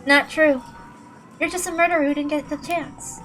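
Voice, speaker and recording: A woman performs a cold, unconcerned woman killer from a visual novel. She speaks in a calm, mocking, smug way with a slightly high voice, over background music. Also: normal speed, poor audio. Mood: cold, reasoning. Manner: calm, smug, matter-of-fact. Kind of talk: dramatic visual novel character reading.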